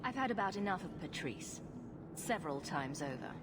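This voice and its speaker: An actress playing a young rogue hero who talks in a dry, sarcastic way. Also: female voice, lowish voice, very animated, normal speed, noisy background.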